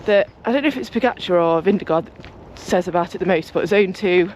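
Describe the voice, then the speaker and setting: A young woman, chatting casually to her camera as a cycling vlogger, rambling and talkative, her voice a bit croaky from just waking up. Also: lowish voice, fairly quick, darkish tone, slight background noise. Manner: chatty, rambling, talks a lot. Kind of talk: casual vlog chat to camera. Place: home, then outdoors on a walk.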